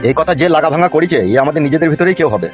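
A man's voice: a little high, very fast, highs cut off, soft background music, close to an everyday voice. A man voicing a crude, blustering small-town politician in a cartoon, speaking coarsely. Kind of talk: cartoon voice acting.

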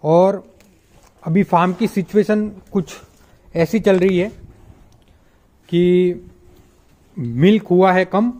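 A young man, a dairy farmer, chatting casually in a vlog, explaining things with many pauses, recorded on a phone held at arm's length outdoors at a farm shed. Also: high-pitched, warm tone.

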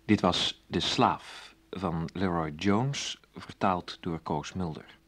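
A man's voice: deep voice, takes it slowly, fairly bright tone. A man working as a radio announcer, reading scripted closing credits.

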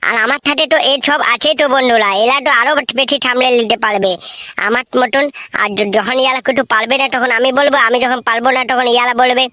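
A woman's voice: high voice, fairly even intonation, quick pace, sharp tone, no echo, clean studio sound. A woman voicing a boastful, eager schoolboy in a comedy cartoon, with a rural West Bengal accent and a cocky, rambling way of talking. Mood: boastful, reassuring. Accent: rural West Bengal (Bankura). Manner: cocky, rambling, garbled pidgin English. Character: boastful, eager schoolboy mangling English answers. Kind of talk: comic cartoon voice acting.

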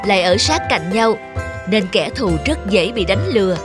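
Gentle mother chameleon, voiced by a woman, music behind. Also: medium pitch, expressive, normal speed, close to an everyday voice. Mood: encouraging. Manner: gentle, instructive. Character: caring patient mother chameleon, gently teaching her young. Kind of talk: children's cartoon dubbing.

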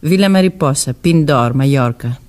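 A woman's voice: deep voice, darkish tone, close to an everyday voice. An actress playing a guarded, evasive young woman who seems older than her years, answering curtly in a Greek radio play. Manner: curt, defensive answers. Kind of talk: Greek radio theatre acting.

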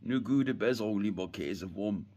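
A man's voice: on the low side, moderate pace, heavy background noise, close to an everyday voice. A man voicing a kindly, down-to-earth puppet friend.

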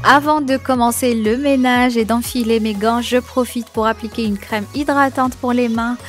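A woman's voice: fairly high pitch, fairly even intonation, normal speed, faint music behind. A young woman narrating a cleaning video voice-over, sharing tips with enthusiasm and frequent hesitations. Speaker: home cleaning vlogger.

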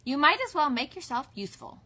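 A woman voicing a stern, rule-minded female head librarian with a brisk, firm, commanding manner in a storybook. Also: high voice, sing-song, bright, forceful tone, heavily acted. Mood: measured approval.

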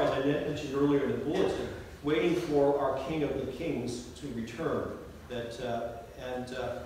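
A middle-aged man speaks as a pastor from the pulpit, heard from some way off. The church rings heavily around his somewhat high voice, with faint noise underneath. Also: heavy reverb, far from the mic, poor audio. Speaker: Lutheran pastor. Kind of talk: gospel reading and sermon.

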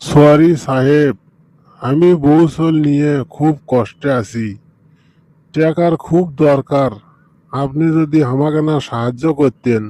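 A man's voice: on the high side, slow pace, dark tone, no room sound, low-quality recording. A man voicing a ruined, humbled rickshaw puller in an amateur cartoon, pleading abjectly.

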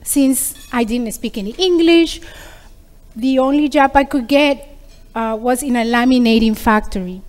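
A woman's voice: fairly high pitch, unhurried, a little mellow. A middle-aged woman from Peru, giving an earnest prepared speech as a program graduate, with small restarts. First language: Spanish.

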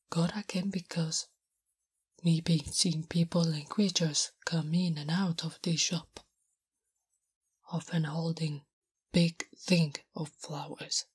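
Breathy, whispering woman as a female orc warrior. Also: sits low. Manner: halting broken English, speaks of herself in third person. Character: big, blunt, smitten female orc warrior, naive and curious. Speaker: ASMR roleplay performer. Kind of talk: whispered ASMR character roleplay. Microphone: close-up ASMR microphone. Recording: close, intimate microphone.

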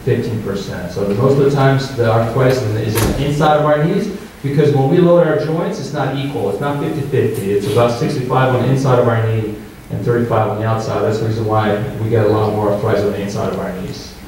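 A man's voice: mid-range voice, steady tone, fast talker, darkish tone. A man in his thirties from Albania, a surgeon giving an informal, conversational medical talk and often checking in with listeners. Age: 30s. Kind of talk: informal medical presentation to a live audience. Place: hotel-style meeting room with seated audience. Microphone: handheld mic, picked up from far off by an audience camera. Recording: distant, very echoey room with faint noise.

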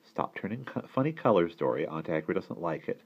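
A man's voice: low-pitched, big pitch swings, fairly quick, husky, dull audio, exaggerated voice. A man voicing a weary, stern witch mother keeping the peace in a children's storybook. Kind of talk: children's storybook read-aloud.

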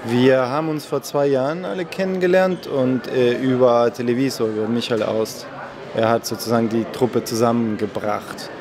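Argentine-born man, calm and measured. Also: medium pitch, crowd noise. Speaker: conductor, festival guest. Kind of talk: interview answers. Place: indoor room at a festival. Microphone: handheld mic held by interviewer.

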